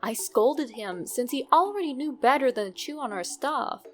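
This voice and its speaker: Female horror-story narrator, higher register, expressive delivery with dramatic pauses, music bed underneath. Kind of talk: scripted creepypasta narration.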